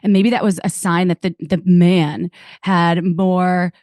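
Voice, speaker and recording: A woman's voice: fairly low pitch, flat delivery, takes it slowly, darkish tone, no echo, clean studio sound. A woman co-hosting a podcast, musing and thinking aloud in casual chat. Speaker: podcast co-host.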